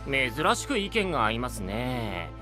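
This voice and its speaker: A cheeky young knight in an anime audio drama. He wraps playful jibes in polite wording, with a bouncy, wide-ranging pitch, and music plays clearly behind him. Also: sing-song, measured pace. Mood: teasing, amused. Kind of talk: anime-style voice drama acting. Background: background music.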